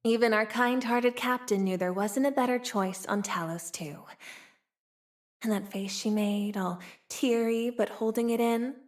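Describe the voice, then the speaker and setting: Casting: actress as cheeky young game heroine, streetwise frontier type, highish voice, unhurried, sly teasing delivery. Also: female voice, close to an everyday voice. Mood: teasing, wry. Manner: teasing, sly, drawling, sighing between wry remarks. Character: playful, cheeky young frontier woman with a tail, streetwise, wry. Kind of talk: video game character voice lines.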